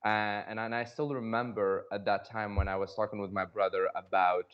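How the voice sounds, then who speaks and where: A man's voice: fairly low pitch, little pitch movement, moderate pace, clean tone, lo-fi sound. A man from Iraq, in his thirties, telling stories casually as a podcast guest, often repeating words as he searches for phrasing. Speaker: podcast guest, cosmetic dentist. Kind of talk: casual conversational storytelling.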